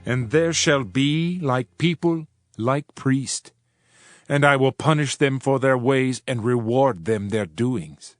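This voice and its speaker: Over prominent music, a solemn male prophet, played by a man declaims scripture. His delivery is grave and measured, in a mid-range voice. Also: medium pitch, no echo, studio quality, lightly acted. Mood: stern, grave. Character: Hosea, solemn male Old Testament prophet. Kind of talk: dramatized King James scripture narration. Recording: music under the voice.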